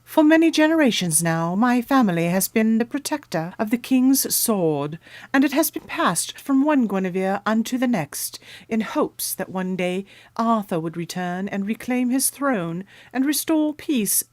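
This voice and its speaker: A woman's voice: a little high, expressive, unhurried, no room sound. A woman playing a solemn, courtly noblewoman of about thirty in a radio drama, speaking in formal, archaic phrasing. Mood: courtly, earnest. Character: solemn, courtly 31-year-old noblewoman guarding a royal sword.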